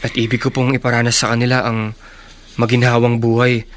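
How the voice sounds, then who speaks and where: A man's voice: mid-range voice, monotone, brisk, close to an everyday voice. A man acting a humble, dutiful young man, respectful and earnest, in a radio drama.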